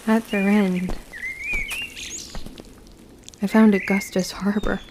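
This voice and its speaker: A woman's voice: mid-range voice, flat delivery, slow pace, noisy background, close to an everyday voice. A woman playing a guarded young witch who narrates her story with formal, old-fashioned phrasing in an audio drama. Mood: brooding, reflective. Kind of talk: scripted first-person audio drama narration.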